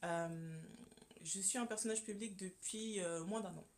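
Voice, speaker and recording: A woman's voice: medium pitch, measured pace, a little hiss or hum. A woman from Congo, a communication consultant, speaking as a guest on a radio interview, earnest and full of hesitations and repeated words. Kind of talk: radio interview, personal testimony. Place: radio studio.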